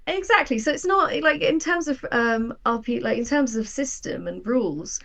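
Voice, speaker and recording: A woman guest on a podcast, a writer and game creator talking casually and telling things in an animated, quote-acting way. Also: a little high, normal speed.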